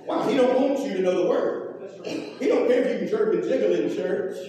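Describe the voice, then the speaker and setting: A man's voice: high-pitched, animated, moderate pace, mellow. A man with a Southern US accent preaching informally as a pastor, folksy and emphatic, prompting listeners to repeat and respond. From Louisiana, United States. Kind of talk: informal sermon with call and response. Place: large reverberant room, preaching to a congregation. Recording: echoey hall, distant microphone, long reverb.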